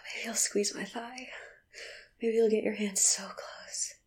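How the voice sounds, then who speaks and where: A woman speaking a slow, intimate audio roleplay monologue, often in a breathy whisper with sighs and soft laughs between phrases. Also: mid-range voice, steady tone.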